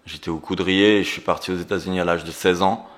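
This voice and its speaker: Deep, flat-voiced man. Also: quick pace. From Geneva, raised in Brazil. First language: Portuguese. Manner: hesitant, many fillers, earnest. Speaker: guest, entrepreneur and coach. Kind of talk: casual interview storytelling.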